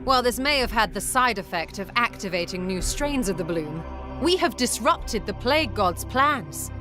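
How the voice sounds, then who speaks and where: Actress as unrepentant female inquisitor, medium pitch, lofty, theatrical, eager, game music behind. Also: animated, normal speed, lightly acted. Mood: defensive, assertive.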